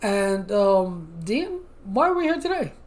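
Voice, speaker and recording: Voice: medium pitch, very animated, unhurried, darkish tone. Podcast host with a mid-range voice. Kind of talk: casual interview questions.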